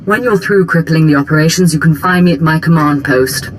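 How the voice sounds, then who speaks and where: A woman playing a smooth, ambitious imperial diplomatic operative in a video game, speaking in formal, polished, deferential phrases. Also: deep voice, fairly quick, slight background noise, natural voice, rough recording. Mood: courteous, pleased. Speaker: imperial special operations chief. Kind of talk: dramatic video game dialogue.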